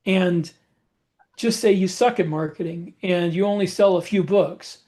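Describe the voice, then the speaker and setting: A man's voice: sits high, unhurried, mellow. A man chatting casually as a podcast host, giving advice in a matter-of-fact way. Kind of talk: casual advice chat about book publishing.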